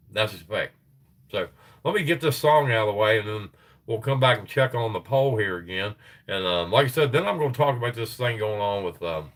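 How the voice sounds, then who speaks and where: A middle-aged man hosting a casual livestream, reading sources aloud and chatting loosely with his viewers. His voice is getting tired and hoarse. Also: fairly low pitch, a bit bright. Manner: rambling, reads sources aloud, chats with viewers. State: voice tiring, gets hoarse. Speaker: livestream host.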